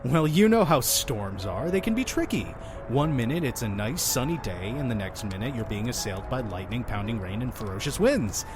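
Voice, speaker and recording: Man playing a theatrical innkeeper, very animated pitch. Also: normal speed, hardly any pauses, over music, lightly acted. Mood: breezy, insistent. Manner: effusive, talking over his guest. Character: chatty, theatrical, pushy male innkeeper of a mysterious inn. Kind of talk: audio drama acting.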